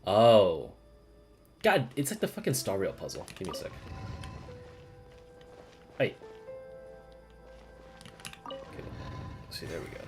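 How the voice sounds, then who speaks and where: A low-voiced streamer gives casual live gameplay commentary. The delivery is playful and sweary, swinging widely in pitch from mutters to exclamations. Game music plays faintly behind. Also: huge pitch swings, takes it slowly, pauses often, darkish tone.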